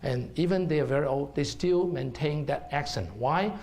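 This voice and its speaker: A man's voice: fairly high pitch, normal speed, creaky, darkish tone. A middle-aged man with a Hong Kong accent, a herbal doctor giving a seminar talk and explaining to the audience, checking in with "okay?". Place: seminar room.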